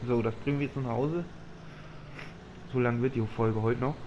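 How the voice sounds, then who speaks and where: A man's voice: mid-range voice, steady tone, moderate pace. Man with a slight cold, rambling. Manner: rambling, thinking aloud with fillers. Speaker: gaming channel host. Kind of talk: casual let's-play commentary. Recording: audible background noise.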